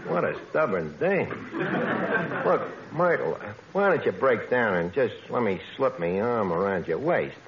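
A man's voice: fairly low pitch, very animated, a little slow, noisy background. An actor playing a brash, penny-pinching, self-satisfied wise guy with streetwise New speech and bad grammar in a 1940s radio comedy. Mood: pushy wooing. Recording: dull vintage radio recording, studio audience.

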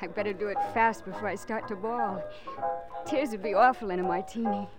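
A woman's voice: medium pitch, fairly even intonation, brisk, close to an everyday voice. A woman playing an anxious, tearful young fiancée putting on a brave front in 1940s radio drama style. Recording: old radio recording, loud crowd and music.

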